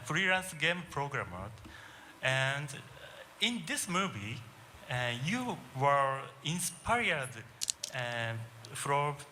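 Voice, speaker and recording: A Japanese man with a Japanese accent, politely asking a question at a public Q&A in halting non-native English. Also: fairly high pitch, pushed and bright. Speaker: audience member asking a question, freelance game programmer. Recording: noisy live event audio.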